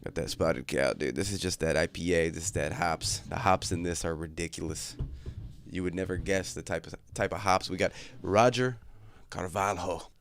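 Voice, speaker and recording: Laid-back young man, slightly congested, low voice. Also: normal speed, creaky, slight background noise. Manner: laid-back, crude, joking banter with chat. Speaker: livestream host. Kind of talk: casual livestream chat. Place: his bedroom at home.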